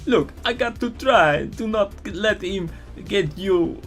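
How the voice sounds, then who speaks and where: A man plays a young female cheetah in a comic storybook reading. The voice is pitched far up, inquisitive and concerned, with exaggerated exclamations. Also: slow pace, soft background music. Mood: urgent.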